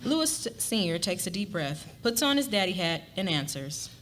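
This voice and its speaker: A woman with a fairly low voice narrates a scripted story. Her delivery is expressive and very animated in pitch. It is recorded live with a little room noise behind her. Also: normal speed. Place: live event before an audience. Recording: live reading with clear ambient noise.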